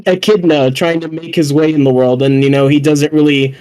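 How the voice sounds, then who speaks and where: A man chatting casually as a podcast panelist about films, earnest and halting, often repeating words as he goes. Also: on the high side, steady tone, dark tone, clean tone, poor audio. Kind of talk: casual chat.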